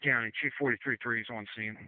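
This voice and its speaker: A man's voice: mid-range voice, fairly even intonation, quick pace, no echo. Terse male fire officer on narrowband radio. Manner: terse, procedural, matter-of-fact. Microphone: two-way radio. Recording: phone-like narrowband two-way radio.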